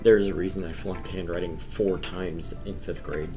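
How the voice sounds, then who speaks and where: A man's voice: deep voice, fairly even intonation, normal speed. Informal male streamer over music. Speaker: host of a gaming stream. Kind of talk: casual chat and visual novel read-aloud.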